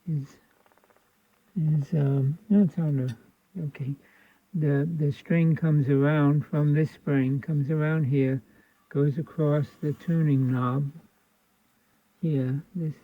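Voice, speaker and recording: A man's voice: mid-range voice, gravelly, no echo. A man with an American accent giving unscripted hands-on repair commentary, thinking aloud in a folksy, colloquial way. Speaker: host of a radio repair video. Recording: narrow phone-like sound.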